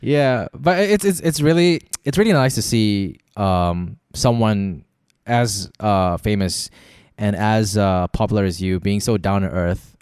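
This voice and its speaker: A man's voice: fairly low pitch, unhurried, darkish tone, smooth, no room sound. A man with a Malaysian accent hosting a casual podcast interview, rambling and often repeating words, close to the microphone. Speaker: podcast host.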